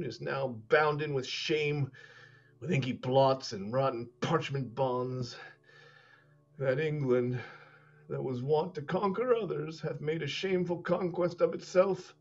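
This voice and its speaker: A dying, prophetic old duke who pleads and scolds in slow, declaiming verse. His voice sits fairly high for a man and moves at a very measured pace. The sound is muffled, as over a video call. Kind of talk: Shakespeare staged reading. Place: home room.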